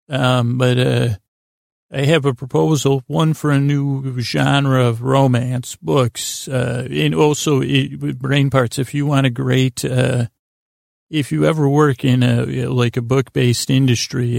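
A man with a creaky voice, hosting a podcast as a rambling, halting bedtime monologue that trails off and restarts. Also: mid-range voice, a little mellow, dry recording, studio quality. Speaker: podcast host.

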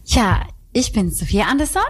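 A woman's voice: mid-range voice, expressive, normal speed, slight background noise. A woman from Sweden with a Swedish accent, speaking German as her second language, a cheerful musical singer who hesitates with many fillers. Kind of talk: casual radio interview.

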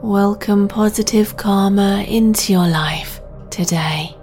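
A woman hosting a guided sleep meditation, speaking in a soft, breathy, soothing and deliberate way. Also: medium pitch. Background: music.

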